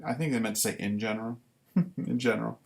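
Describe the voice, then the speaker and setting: A man reading his own writing live and commenting on it casually, in deliberate, halting short phrases. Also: on the low side, fairly even intonation, creaky. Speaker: writer reading own work; former military recruit.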